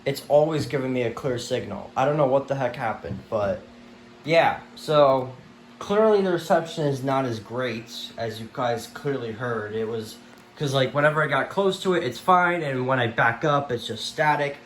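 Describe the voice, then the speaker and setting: A man's voice: medium pitch, moderate pace, fairly bright tone. Echoey, rambling man in casual show-and-tell. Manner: rambling, mildly exasperated, repeats himself. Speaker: vlogger showing a weather radio collection. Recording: echoey room with faint background noise.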